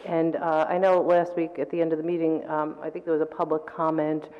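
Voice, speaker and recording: Low flat-voiced woman with a sore throat. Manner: measured, deliberate, with fillers and throat clearing. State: sore throat, losing her voice. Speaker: council member. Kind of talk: council meeting report. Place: council chamber. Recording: dull reverberant council chamber audio.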